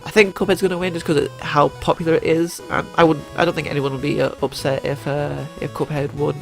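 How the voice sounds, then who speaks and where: A young man talks through his gaming picks in a gravelly, slightly high voice. He is stuffed up from a cold and rambles, restarting his sentences and filling pauses with "uh". Faint music plays behind him. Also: flat delivery, a bit bright. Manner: rambling, many fillers and restarts. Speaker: YouTuber. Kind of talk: casual gaming commentary.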